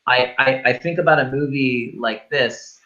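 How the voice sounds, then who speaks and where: A man's voice: mid-range voice, normal speed, sharp tone, dull audio. A man co-hosting a film review show, talking casually in a rambling, enthusiastic way full of superlatives.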